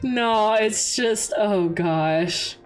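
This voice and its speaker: A young adult woman chatting casually on a livestream as its host, expressive and giggly as she tells stories and answers viewers. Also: medium pitch, very slow, clean tone. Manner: giggly, animated storyteller with expressive exclamations. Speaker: VTuber streamer, host. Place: indoor livestream. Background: background music.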